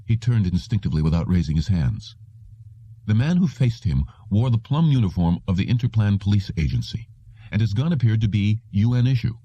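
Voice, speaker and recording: Deep, very mellow man reading a story. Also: lively intonation, fairly quick, slightly rough, no echo, dull audio. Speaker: audiobook narrator. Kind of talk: scripted literary narration.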